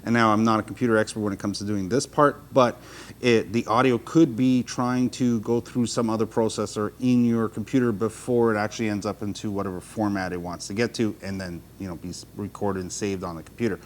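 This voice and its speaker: A man's voice: fairly even intonation, brisk. A man casually answering viewer questions as a host, talking off the cuff in a helpful, loosely phrased way. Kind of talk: casual, unscripted explanatory talk. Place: showroom. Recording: clear low rumbling background noise.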